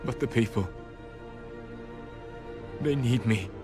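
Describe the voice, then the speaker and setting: An actor playing a weary, remorseful ancient mystic in a game cutscene, speaking solemnly and softly with regret. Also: male voice, mid-range voice, slow pace, over music, natural voice. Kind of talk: video game cutscene drama.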